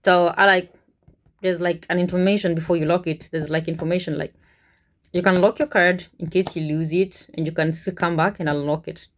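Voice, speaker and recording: A woman from Kenya with a Kenyan accent, telling a personal story to camera in a casual, animated way. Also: fairly low pitch, brisk. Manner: animated, chuckles often, conversational. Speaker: vlogger, cruise ship worker.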